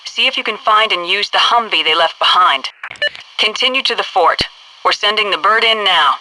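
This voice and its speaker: An American woman playing a brisk military headquarters commander in a video game, giving crisp, authoritative mission instructions. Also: medium pitch, noisy background, close to an everyday voice. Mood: brisk, instructive. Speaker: mission control radio dispatcher and tutorial guide.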